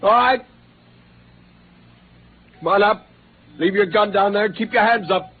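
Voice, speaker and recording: A man's voice: sits high, measured pace, breathy edge. An actor playing a bitter, defiant spaceman turned killer in an intense 1950s radio drama style. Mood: guarded. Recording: tinny, noisy old radio recording.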